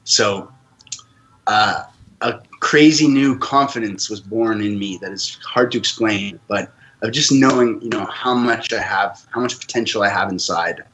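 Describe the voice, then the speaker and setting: A man's voice: fairly low pitch, measured pace, fairly bright tone, slight background noise, poor audio. A man who grew up in Canada, a podcast guest telling his own story in an earnest, open way. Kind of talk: casual interview talk.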